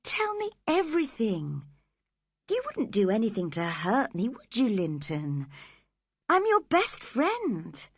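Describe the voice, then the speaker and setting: A woman voicing a spirited, caring teenage girl who speaks gently or defiantly. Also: extremely high pitch, very animated, slow, no room sound, studio quality, pitched above the performer's own voice, exaggerated voice. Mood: gentle.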